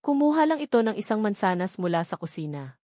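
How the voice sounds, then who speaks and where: A woman's voice: mid-range voice, sing-song, fast talker, warm tone, no echo, studio quality. A woman with a Filipino accent reading a scripted dramatic story as its narrator.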